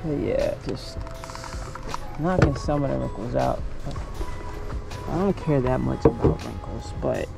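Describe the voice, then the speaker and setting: A man's voice: mid-range voice, measured pace, creaky, long pauses, loud music behind the voice, poor audio. Laid-back man chatting in his minivan. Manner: relaxed, chatty and offhand. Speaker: vlogger. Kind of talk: casual vlog narration. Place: inside a minivan.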